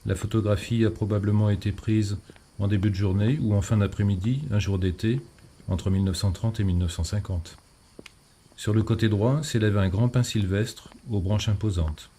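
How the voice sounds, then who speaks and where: Male narrator for scripted image description, warm dark tone, slight background noise. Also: lowish voice, fairly even intonation, normal speed. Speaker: photo describer.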